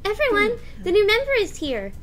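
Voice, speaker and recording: A woman voicing a bubbly, excitable, childlike schoolgirl while reading a visual novel aloud. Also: extremely high pitch, fairly quick, pitched above the performer's own voice, exaggerated voice. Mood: bubbly, pleading.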